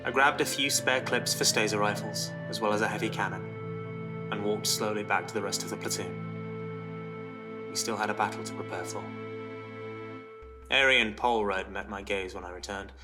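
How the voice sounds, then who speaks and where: A man's voice: lowish voice, normal speed, long pauses. A man reading a scripted first-person dramatic story as narrator, with music behind him. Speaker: narrator, writer-reader.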